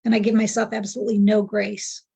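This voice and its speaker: A woman's voice: mid-range voice, little pitch movement, fast, gravelly, warm tone, husky. A woman in her sixties, a client in an emotional tapping session, talking candidly and repeating affirmations back to her practitioner. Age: 60s. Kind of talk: echoing affirmations after the practitioner.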